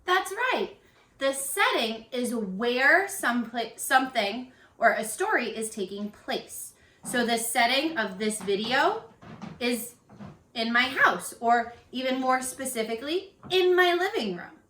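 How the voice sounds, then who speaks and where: Bright, high-voiced woman teaching young children. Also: slow pace, a little hiss or hum. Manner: expressive, encouraging, addressing young children. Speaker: elementary school teacher. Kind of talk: children's read-aloud lesson with questions. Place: living room at home. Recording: roomy, echoey home video.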